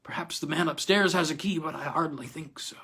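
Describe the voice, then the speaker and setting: Chained male prisoner, acted by a male reader: resigned, bitter, halting delivery in a raised pitch, horror tale. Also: sharp tone, slightly rough. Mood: weary, desperate. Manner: halting, snarling, repeating himself.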